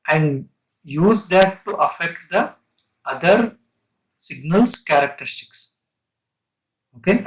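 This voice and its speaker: Male lecturer, fairly high pitch, explanatory technical delivery, halting short phrases, restated terms. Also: fairly bright tone, poor audio.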